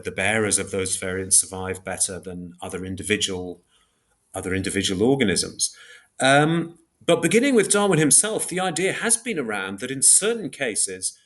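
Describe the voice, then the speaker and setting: A man explaining ideas as an interview guest in a measured, precise academic way, often repeating and reformulating phrases as he thinks. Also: on the low side, a bit bright.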